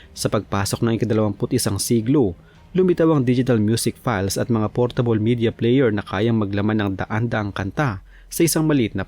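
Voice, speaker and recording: Filipino guy doing documentary voiceover, lowish voice, formal scripted read, music in the back. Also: warm tone. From Philippines. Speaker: documentary narrator. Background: background music.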